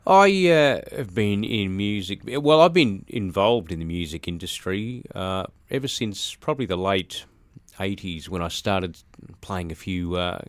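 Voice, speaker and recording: An Australian man in his forties, a musician chatting as an interview guest, halting with fillers and restarts. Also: sits low, lively intonation, no room sound. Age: 40s. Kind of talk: casual interview talk.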